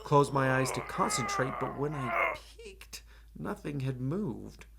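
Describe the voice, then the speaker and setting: A man's voice: fairly high pitch, wildly swooping pitch, a little slow, vocal fry, bright, forceful tone, husky, exaggerated voice. A man voicing a ten-year-old former superhero boy, wistful but determined, in a rhyming picture-book read-aloud. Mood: puzzled, dejected.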